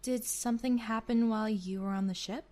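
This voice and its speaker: A woman's voice: a little high, fairly even intonation, fairly quick, mellow, close to an everyday voice. A woman playing a cool, composed villainous fleet admiral in a roleplay series, speaking formally. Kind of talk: Minecraft roleplay web series voice acting. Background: background music.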